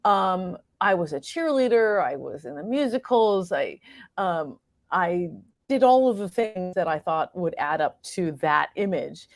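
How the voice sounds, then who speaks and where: A woman's voice: lowish voice, animated, measured pace, no room sound, clean studio sound. A middle-aged American woman talking reflectively as an interview guest, animatedly acting out her own thoughts as she tells stories. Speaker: guest, playwright and actor.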